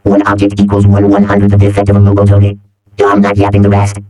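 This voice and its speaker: Guy speed reading an explainer, totally monotone, super echoey w loud music over it. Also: fairly low pitch, rapid-fire, recorded from a distance. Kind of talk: rapid scripted explainer voice-over.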